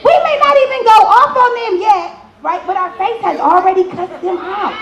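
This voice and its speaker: A woman hosting a live relationship talk, speaking in an animated, direct way and checking in with her listeners. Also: very high-pitched, normal speed, highs cut off, crowd noise. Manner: animated, direct, asks the room to confirm. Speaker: host of a relationship therapy talk show. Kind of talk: lively advice talk to an audience. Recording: roomy, echoing live event space.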